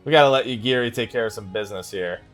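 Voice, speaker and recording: A man's voice: fairly low pitch, fast talker, soft background music. A man giving casual let's play commentary, rambling and thinking aloud as he plays. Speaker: gaming YouTuber hosting a let's play.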